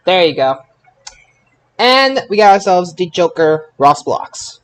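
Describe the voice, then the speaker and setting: A man hosting a casual podcast results recap, haltingly, often repeating words as he recalls details. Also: high voice, sing-song. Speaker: podcast host.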